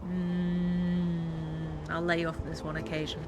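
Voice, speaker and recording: A woman's voice: fairly low pitch, level tone, a little slow, a little hiss or hum. A woman chatting casually and playfully as a player in a tabletop game. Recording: soft video game music behind. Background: soft video game music.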